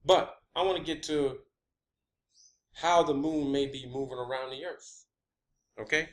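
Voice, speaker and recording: A man's voice: mid-range voice, a little slow. A man giving an informal opinion monologue as a video host, emphatic and combative, often swearing. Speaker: video host presenting own theory.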